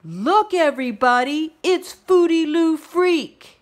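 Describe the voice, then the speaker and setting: Woman as taunting schoolkid, raised pitch, loud, mocking, storybook character. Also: lively intonation, slow. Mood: mocking shout.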